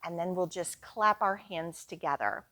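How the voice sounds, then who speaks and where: A woman leading a storytime for young children, reading aloud in a deliberate, singsong, instructional way. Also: fairly low pitch, pushed and bright. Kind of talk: slow read-aloud storytime for young children, with sung refrains and action rhymes.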